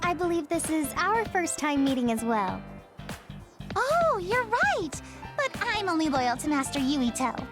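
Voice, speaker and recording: Young female anime game officer: extremely high-pitched, sugary, devoted, gushing, with a snide haughty edge. Lively melody over music. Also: unhurried. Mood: adoring, bubbly. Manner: gushing, girlish, pointedly snide. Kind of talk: anime game dub acting.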